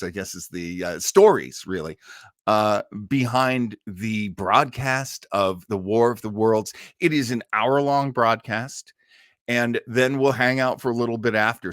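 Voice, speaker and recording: An American man hosting a casual live stream, talking in a rambling, halting way with chuckling asides. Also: lowish voice, a bit bright, no echo, clean studio sound. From Maine, United States. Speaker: host of an online old-time radio listening show.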